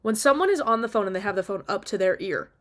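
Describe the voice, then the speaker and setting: A woman's voice: medium pitch, animated, fast talker. A woman talking casually and off the cuff in an opinionated, emphatic rant, exasperated and casually sweary. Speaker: video diarist.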